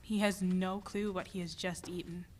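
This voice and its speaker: A woman's voice: lowish voice, level tone, moderate pace, a little mellow, natural voice. A woman playing a stressed, driven mother and restaurant owner in a dramatic play reading. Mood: storytelling.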